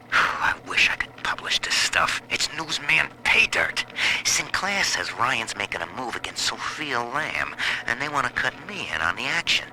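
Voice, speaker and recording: Sharp, lively, sly newspaperman. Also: medium pitch, slow, pauses often, full character voice. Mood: scheming, amused. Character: sly, eager, wisecracking old-time newspaperman. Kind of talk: period game audio-log monologue. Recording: faintly noisy audio-log recording.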